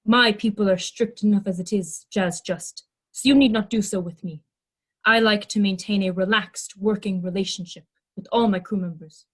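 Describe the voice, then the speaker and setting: A woman's voice: mid-range voice, fairly even intonation, normal speed, husky, natural voice. A woman playing a polite, literal-minded first mate in a sci-fi audio drama, speaking in stilted, earnest, formal phrases. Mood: pleasant, formal.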